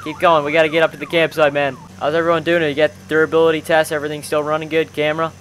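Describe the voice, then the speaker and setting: A man's voice: on the high side, flat delivery, racing, a bit bright, a little hiss or hum. A young man commentating on gameplay casually with friends, exclamatory and full of slang like bro and dude. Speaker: gaming video host.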